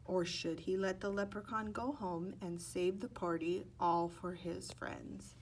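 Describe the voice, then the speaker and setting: A woman's voice: lowish voice, steady tone, measured pace, a little hiss or hum. A woman narrating a children's picture book expressively, stretching words and acting out sound effects. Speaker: storybook reader.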